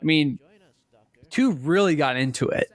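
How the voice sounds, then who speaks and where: Slightly higher-voiced man chatting casually. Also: expressive, measured pace. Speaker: podcast co-host. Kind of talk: casual conversational video game chat.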